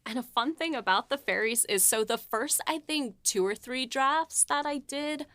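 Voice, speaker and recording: A woman's voice: high-pitched, normal speed, a bit bright, no room sound. A woman writer co-hosting a casual behind-the-scenes talk, rambling with lots of fillers and laughs. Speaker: writer and podcast co-host.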